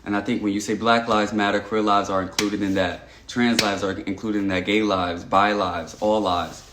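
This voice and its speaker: An earnest young man from Texas chats casually on a livestream, thinking aloud and circling back with lots of "um"s. His voice sits a little low. The room around him is echoey. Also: level tone, normal speed, background noise. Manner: earnest and reflective, frequent "um" and restarts. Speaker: livestream host. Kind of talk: casual livestream chat reading comments.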